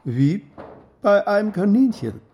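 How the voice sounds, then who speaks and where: Man as a slow, menacing drunken farm boss. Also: high voice, very animated, mellow, pitched above the performer's own voice. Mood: menacing, taunting. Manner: slow, threatening, taunting. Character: menacing, mocking drunken Soviet-era farm steward. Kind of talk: acted audiobook dialogue.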